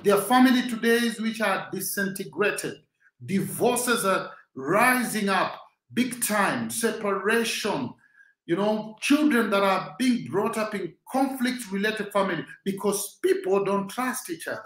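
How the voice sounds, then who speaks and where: A man's voice: high-pitched, animated, unhurried, a bit bright, reverberant, rough recording. A Kenyan man with a Swahili-speaking background, giving a rousing, preacher-like motivational talk as host.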